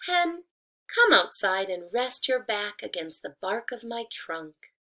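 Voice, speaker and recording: A woman voicing a sly wolf posing as a talking apple tree in a children's storybook, with a slow, coaxing, emphatic delivery. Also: medium pitch, sing-song, sharp tone, heavily acted. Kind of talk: children's storybook read-aloud.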